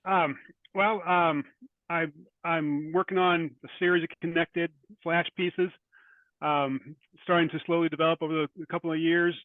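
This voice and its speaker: A man speaking as a writer guest in an interview, thoughtful and halting, often repeating words as he goes. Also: on the high side, steady tone, no echo, phone-quality sound. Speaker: guest, short fiction writer. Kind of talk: interview conversation.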